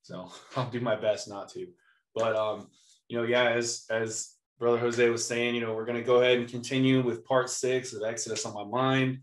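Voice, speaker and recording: Hoarse man teaching in a roomy echo. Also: medium pitch, moderate pace. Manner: relaxed, chatty, with light laughs. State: hoarse, clearing his throat. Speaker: Bible teacher. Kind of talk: informal sermon teaching.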